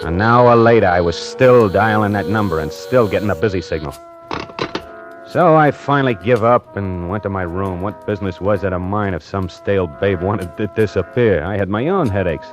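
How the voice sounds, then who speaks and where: A man's voice: low-pitched, normal speed, close to an everyday voice. An actor playing a streetwise, slangy, hard-up necktie salesman who narrates an old-time radio suspense play in a dramatic style. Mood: brooding, intrigued. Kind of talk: 1940s radio suspense acting, first-person narration. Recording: old radio broadcast with music behind.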